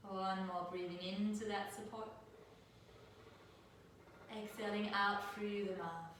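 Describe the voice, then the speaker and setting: A middle-aged woman from Denmark leading a guided yoga class over a live video call, speaking in a soft, soothing way with slow, drawn-out cues. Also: mid-range voice, steady tone. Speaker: yoga teacher. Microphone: laptop mic at a distance. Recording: very echoey room, distant mic, faint noise.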